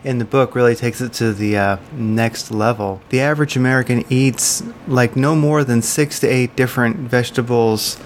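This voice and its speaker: A man's voice: medium pitch, steady tone, normal speed, few pauses, slight background noise. A man hosting an interview podcast, speaking casually with frequent fillers and restarts. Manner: hesitant, many fillers and restarts. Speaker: podcast host.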